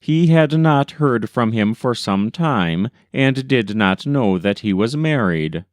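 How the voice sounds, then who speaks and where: Slow-paced man reading a news story. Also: medium pitch, lively intonation, no room sound, clean studio sound. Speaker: volunteer reader. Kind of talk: scripted narration of an old newspaper story.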